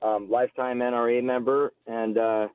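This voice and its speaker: A man's voice: mid-range voice, level tone, moderate pace, clear, resonant tone, dry recording. Male guest, forties, American, casual personal storyteller on a dry, narrow-band radio call-in. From United States, Alabama-born, grew up near Seattle. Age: 40s. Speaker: guest, political candidate. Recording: muffled lo-fi call-in radio line.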